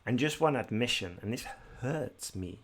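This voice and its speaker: A British man from Britain teaching an exam-prep podcast tutorial in a brisk, direct way, with some fillers and restarts. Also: mid-range voice, lively intonation, a bit bright. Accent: British English.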